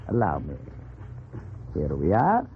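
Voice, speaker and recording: A sly, flamboyant magician from a 1940s radio play. He draws out his words with mocking showmanship, the pitch swinging grandly up and down. The recording is thin, with strong noise. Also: huge pitch swings, very slow, narrow, tinny audio, full character voice. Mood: slyly amused. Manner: theatrical, chuckling.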